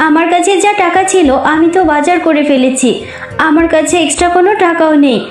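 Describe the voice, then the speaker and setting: A woman's voice: extremely high pitch, fast. A woman voicing a poor, sorrowful widowed mother fox, plaintive and pleading, in a children's cartoon story. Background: music.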